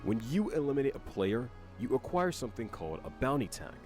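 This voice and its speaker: A man reading scripted promotional narration for a game trailer in a measured, announcer-like way. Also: medium pitch, very animated, a little mellow, faint music behind.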